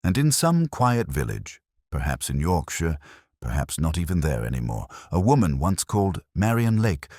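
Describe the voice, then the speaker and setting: A man playing a melancholy, reflective police inspector, narrating an audio drama in slow, measured, solemn literary prose with deliberate pauses. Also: very deep voice, sing-song, darkish tone, dry recording, studio quality, full character voice. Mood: resigned. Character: melancholy, reflective male police detective inspector telling his story.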